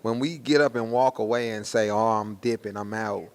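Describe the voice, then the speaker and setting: A man's voice: fairly low pitch, fairly even intonation, normal speed, clear, resonant tone. An American man doing casual promotional interviews in a slangy, hyped, conversational way.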